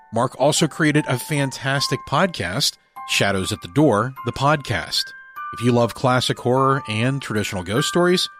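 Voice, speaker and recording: A male podcast host reads scripted announcements. His voice sits at an average pitch, and music plays clearly behind him.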